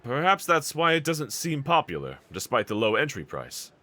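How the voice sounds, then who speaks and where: A man's voice: mid-range voice, wildly swooping pitch, moderate pace, sharp tone. A man playing a bold, earnest, reassuring demon slayer swordsman in an anime audio roleplay, speaking with formal, courteous diction. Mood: curious, puzzled.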